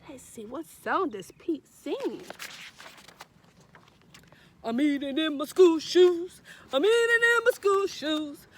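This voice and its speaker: A woman's voice: sits high, expressive, takes it slowly, pauses often, a little hiss or hum. A woman reading a children's storybook aloud in a sing-song, encouraging way, with emphatic repetition and questions to young listeners. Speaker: read-aloud storyteller, librarian.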